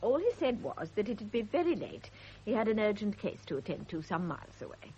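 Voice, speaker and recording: A woman's voice: medium pitch, big pitch swings, a little fast, a little mellow, husky, full character voice. A woman playing a fussy, motherly nurse in a radio drama, with a coaxing, sing-song manner. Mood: soothing, cajoling. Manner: cajoling, sing-song, fussing. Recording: muffled old radio drama recording, faint hiss.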